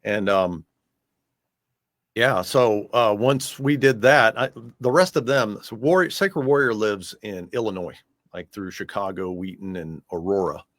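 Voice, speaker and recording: A man's voice: fairly low pitch, unhurried, fairly bright tone, no echo, clean studio sound. An American man chatting casually in a music interview as the guest, talking in a loose, rambling way.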